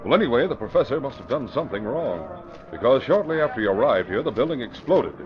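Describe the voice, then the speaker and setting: An actor playing a grave, guarded middle-aged police commissioner in an old-time radio crime drama, speaking with hesitant weight. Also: male voice, medium pitch, sing-song, fast talker, close to an everyday voice. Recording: muffled old radio recording.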